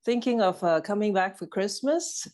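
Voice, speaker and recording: A woman's voice: medium pitch, animated, moderate pace, darkish tone. A woman from Hong Kong, a guest in a casual chat, speaking hesitantly with many fillers.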